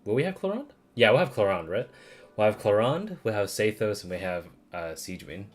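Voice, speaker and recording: A man's voice: on the low side, very animated, fairly quick. A man streaming a video game with casual, slangy, sweary reactions and muttered asides. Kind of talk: casual reacting commentary over gameplay.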